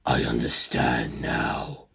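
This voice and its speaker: An immortal inhuman male villain from an audiobook, in a very low, breathy half-whisper. He speaks slowly and deliberately, coldly curious and detached. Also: fairly even intonation, a bit bright, full character voice. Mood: calm curiosity. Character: Kars, cold inhuman male ultimate being, calmly curious.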